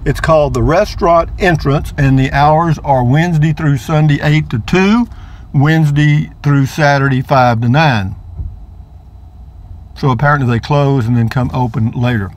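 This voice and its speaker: A man's voice: mid-range voice, a little hiss or hum. Rambling chatty man driving. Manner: chatty, rambling, repeats words playfully. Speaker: vlogger. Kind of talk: casual driving vlog narration. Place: inside a car.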